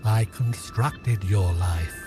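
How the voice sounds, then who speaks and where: An actor playing a grandiose, controlling mastermind in an audio drama, speaking in a deliberate, theatrical way. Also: male voice, lowish voice, over music. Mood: menacing, grandiose. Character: controlling, grandiose male mastermind who reshapes realities. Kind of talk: scripted audio drama acting.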